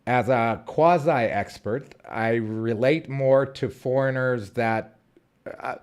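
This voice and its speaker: A man's voice: mid-range voice, slow pace, a little mellow, clear, resonant tone. A man, talking casually to the camera and restarting his sentences often. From Colombia, raised in Southern California. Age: 30s. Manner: rambling, restarts sentences, frequent fillers. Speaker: vlogger. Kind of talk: casual unscripted talk to camera.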